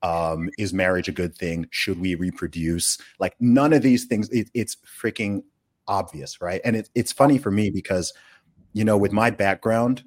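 A man's voice: deep voice, normal speed. A man born in the UK and raised in Saudi Arabia, hosting a conversational podcast and making emphatic, opinionated points with repeated words and checking tags. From born in the UK, grew up in Saudi Arabia. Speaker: podcast host.

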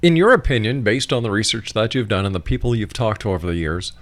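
Low-voiced radio host, a man. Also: lively intonation, fast. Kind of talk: radio interview hosting.

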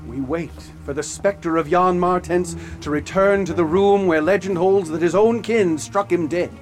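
An actor playing an eccentric ghost hunter in a radio drama, who speaks in formal, old-fashioned sentences. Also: male voice, high voice, normal speed, close to an everyday voice. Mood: commanding. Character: grave, eccentric male ghost hunter telling a dark tale. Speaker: witness recounting a haunting. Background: music.

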